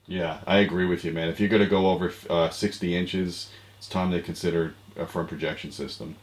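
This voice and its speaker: A man's voice: low-pitched, fairly even intonation, fairly quick. An American man in his forties co-hosting a tech show in casual chat over a video call. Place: small home office, indoors. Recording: roomy, echoey home-office sound.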